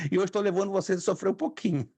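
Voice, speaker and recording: A man's voice: high voice, animated, fairly quick, mellow, slightly rough. A middle-aged man, a native speaker of Spanish and Hebrew, teaching a class and patiently repeating words for students to echo. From Brazil. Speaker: theology teacher. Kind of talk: online class lecture.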